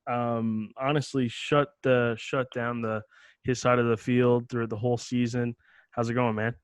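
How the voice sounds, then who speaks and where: Level-voiced podcast host, dry sound. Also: male voice, medium pitch, level tone, moderate pace, clean tone, no room sound. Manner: casual, many "uh" fillers, rambling questions. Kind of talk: casual podcast interview.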